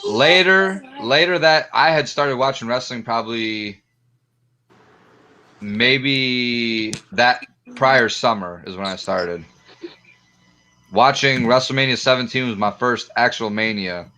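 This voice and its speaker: A man's voice: mid-range voice, takes it slowly, sharp tone. A man in his twenties chatting casually and enthusiastically as a podcast panelist, with slang and swearing. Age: 20s. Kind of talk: casual storytelling chat. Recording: dull lo-fi sound.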